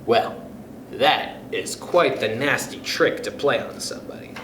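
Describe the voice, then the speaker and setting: A man reading a children's story aloud as a storyteller, in an animated, expressive way. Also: medium pitch, a little slow, recorded from a distance. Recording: roomy echo with faint background noise.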